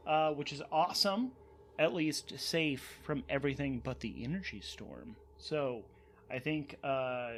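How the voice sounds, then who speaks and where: A man with a somewhat high-pitched voice narrates a solo tabletop game as its host. His delivery is casual and improvised, thinking aloud and doubling back on words as he works things out. There is faint noise in the background of the recording. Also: a bit bright.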